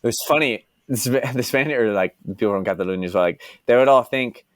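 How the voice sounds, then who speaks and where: A man's voice: medium pitch, fast, no echo, studio quality. A young man from Dallas, chatting casually as a podcast guest, rambling and filling his talk with "like" and "you know". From Dallas, United States. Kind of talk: casual interview chat.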